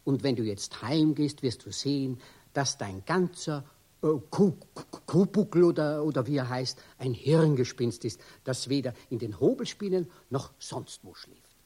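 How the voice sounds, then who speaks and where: An actor playing a sceptical older mechanic in a children's radio play, patronizing and teasing, with a husky voice. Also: male voice, mid-range voice, expressive, a little slow, mellow.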